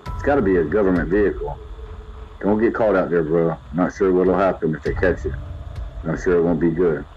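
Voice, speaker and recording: Dull hand-held radio sound, man acting as cautious sardonic pal, lowish voice, slangy, foul-mouthed, audio drama. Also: brisk, breathy edge, soft background music. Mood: cautioning. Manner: slangy, sweary, calls listener bro.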